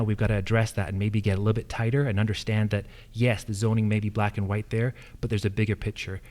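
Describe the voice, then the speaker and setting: A man with an American accent answering as a candidate at a forum, speaking earnestly and emphatically with halting phrasing. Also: lowish voice, dark tone. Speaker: incumbent county assessor candidate.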